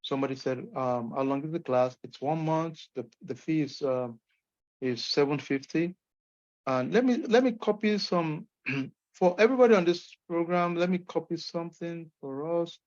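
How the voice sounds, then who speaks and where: Nigerian male instructor, upbeat and emphatic, fairly high voice over a dull lo-fi call line. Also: normal speed, darkish tone. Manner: encouraging, emphatic, folksy asides. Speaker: course instructor. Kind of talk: online Q&A session. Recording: muffled lo-fi call audio.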